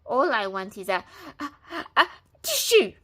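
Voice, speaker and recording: A woman's voice: sits high, big pitch swings, takes it slowly, fairly bright tone, voice pushed up, heavily acted. A woman voicing a polite, sniffling male wolf with a bad cold in a storybook read-aloud. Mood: sniffly begging. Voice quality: stuffy, sniffling. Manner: polite pleading.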